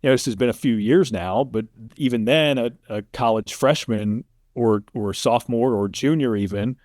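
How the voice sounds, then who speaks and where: Casting: middle-aged American male, medium-pitched, relaxed conversational interviewee, dry studio-like sound. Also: normal speed, dry recording. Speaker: interview guest, sports play-by-play announcer. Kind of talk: casual interview talk.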